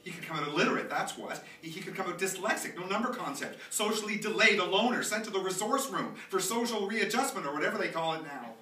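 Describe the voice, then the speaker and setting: Guy acting as a nervy rambling young dad, really animated, roomy far-off theatre recording. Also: sits high, fast, hardly any pauses. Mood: worried, agitated. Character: anxious, chatty, nostalgic young dad. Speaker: author performing own monologue. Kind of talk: live theatrical monologue reading. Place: small theatre stage, recorded live from a distance. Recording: roomy, distant, noisy live theatre recording.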